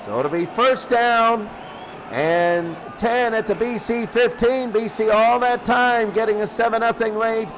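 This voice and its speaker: A man's voice: extremely high pitch, a little slow, a bit bright, slight background noise, rough recording. A man doing football play-by-play as the announcer, often repeating his phrases. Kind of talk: amateur football play-by-play commentary.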